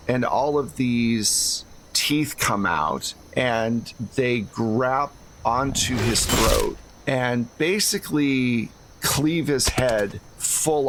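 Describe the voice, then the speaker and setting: A man's voice: medium pitch, fairly bright tone, a little hiss or hum, low-quality recording. A man narrating as game master of a tabletop roleplaying game, improvising scenes and talking to his players.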